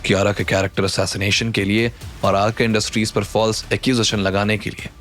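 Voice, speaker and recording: Man playing a low, commanding, menacing business tycoon. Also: level tone, fairly bright tone, natural voice. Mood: cold, triumphant. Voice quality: low, controlled. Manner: slow, confident, authoritative. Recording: background music under the voice.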